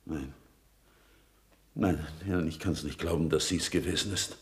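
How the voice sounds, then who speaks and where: An actor playing an uneasy, indignant brother under suspicion in a radio crime play, protesting and defensive. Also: male voice, a bass voice, measured pace. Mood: agitated.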